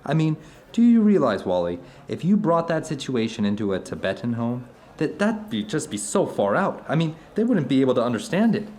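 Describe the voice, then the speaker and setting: A man plays an intense, philosophical raconteur talking across a dinner table in an audio drama. His mid-pitched voice is very lively, rising and falling as he rambles emphatically from one thought to the next. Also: brisk, a little hiss or hum, close to an everyday voice. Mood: incredulous, animated. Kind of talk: conversational audio drama dialogue.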